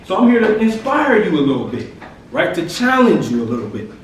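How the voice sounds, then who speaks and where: A man with a deep, powerful voice giving a motivational speech, checking in with the crowd often. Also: sing-song, brisk. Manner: punctuates lines with "right?". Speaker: motivational speaker. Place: large echoey hall. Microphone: distant from the recording mic. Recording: echoey hall from far off, light noise.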